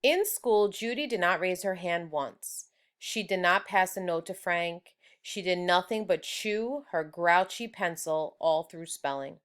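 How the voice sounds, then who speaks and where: Unhurried woman reading a children's book. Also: lowish voice, fairly bright tone, smooth. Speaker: read-aloud storyteller. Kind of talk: children's book read-aloud.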